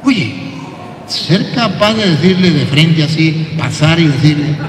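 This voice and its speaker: A middle-aged man, a priest, preaching an animated sermon into a handheld mic. He acts out quotes and swings from soft to shouting. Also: fairly high pitch, unhurried, a little hiss or hum. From Venezuela. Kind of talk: lively sermon. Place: large church, indoors. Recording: echoey church from a distance.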